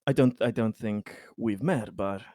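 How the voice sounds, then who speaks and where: Man as male corporate colony boss in a tabletop game: polite, measured, halting, dry close sound. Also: fairly low pitch, dark tone, no room sound. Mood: polite, guarded.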